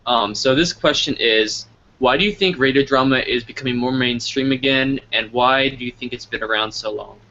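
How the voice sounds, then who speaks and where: A man hosting a casual question-and-answer interview, with halting, hesitant phrasing. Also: mid-range voice, sharp tone. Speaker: interviewer, host. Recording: lo-fi online call with background noise.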